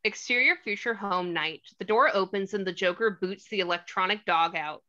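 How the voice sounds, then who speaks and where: A woman's voice: mid-range voice, normal speed, pushed and bright, dull audio. A woman reading stage directions as scripted narration at a table read.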